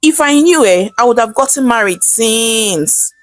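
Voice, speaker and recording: Boastful young Nigerian husband, read by a female storyteller, folktale, cocky banter, animated, fairly high voice. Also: unhurried, dry recording, soft background music. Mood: smug delight.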